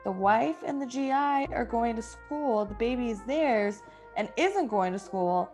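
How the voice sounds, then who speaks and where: Woman playing an eager, high-voiced college girl. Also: animated, a little slow, a little mellow, soft background music. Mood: matter-of-fact. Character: eager eighteen-year-old college girl, frank and lovestruck. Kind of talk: performance of a classic radio play.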